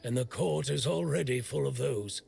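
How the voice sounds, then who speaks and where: An actor playing a gruff, irreverent old smith in a video game, with a gravelly voice and a blunt, sardonic way of talking. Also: male voice, mid-range voice, normal speed, darkish tone, soft background music. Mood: disdainful grumbling. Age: older. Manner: blunt, foul-mouthed, sardonic. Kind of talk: video game character dialogue.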